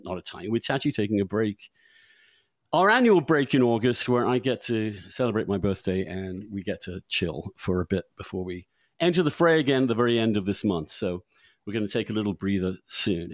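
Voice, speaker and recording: A middle-aged man hosting a podcast in a chatty, unscripted way, halting and repeating words as he goes. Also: lowish voice, very animated, no echo. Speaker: podcast host.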